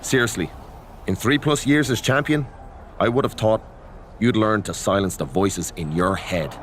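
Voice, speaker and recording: A man's voice: on the low side, normal speed, fairly bright tone, a little hiss or hum, natural voice. A man playing a blunt, goading but encouraging friend in a wrestling game cutscene. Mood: firm, rousing. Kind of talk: video game cutscene acting.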